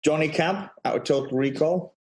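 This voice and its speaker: A man's voice: a little high, normal speed, darkish tone. A man chatting casually about films as a podcast guest. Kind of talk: casual film chat.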